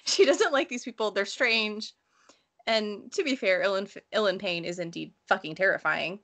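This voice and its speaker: Bright-voiced woman chatting casually. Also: medium pitch, normal speed. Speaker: book read-along panelist. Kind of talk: casual discussion, personal anecdotes.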